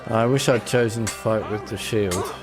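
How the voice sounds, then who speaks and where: Male streamer, lowish voice, conversational muttered play-along remarks over game music. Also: normal speed. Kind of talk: casual live gameplay commentary.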